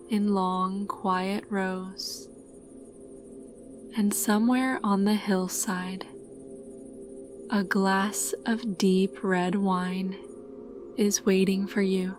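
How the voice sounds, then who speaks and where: Very slow, calm woman guiding sleep meditation. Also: mid-range voice. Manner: calm, soothing, unhurried. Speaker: guided sleep meditation narrator. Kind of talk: scripted guided meditation narration.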